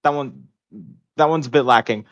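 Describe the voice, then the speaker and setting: A man's voice: medium pitch, normal speed, a bit bright. A man chatting casually and bluntly as a gaming podcast co-host, slangy and repetitive, over a dull lo-fi connection. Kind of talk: casual chat about video games.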